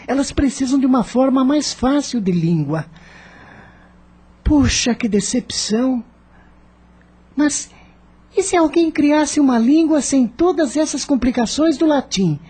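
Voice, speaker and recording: A woman's voice: sits high, lively intonation, a little slow, warm tone, husky, heavily acted. A woman playing an earnest, idealistic teenage schoolboy who thinks aloud and questions things, in a radio drama. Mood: doubtful.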